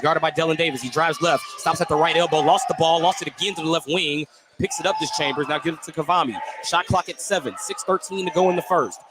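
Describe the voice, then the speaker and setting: A man doing live basketball play-by-play for radio, describing the action in running detail and correcting himself as he goes. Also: a little high. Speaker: play-by-play sports commentator. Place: indoor basketball gym. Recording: noisy live gym ambience.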